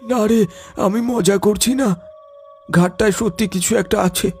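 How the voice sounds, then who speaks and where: A man voicing a frightened, superstitious young villager in a cartoon ghost story, husky and hesitant, earnest and nervous. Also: high voice, a little slow, soft background music. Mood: earnest, ominous. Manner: hesitant, stammering in fear.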